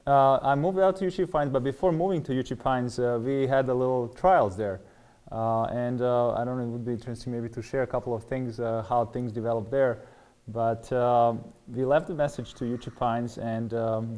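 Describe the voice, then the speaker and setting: Male interview guest, middle-aged, Serbian accent, dark relaxed mid-range voice, hesitant storytelling. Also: medium pitch, moderate pace. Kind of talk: personal testimony in interview.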